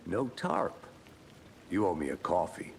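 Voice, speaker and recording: A man playing a seasoned, laconic FBI agent in a video game, middle-aged, terse and deadpan. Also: sits low, unhurried, vocal fry, a little hiss or hum, full character voice.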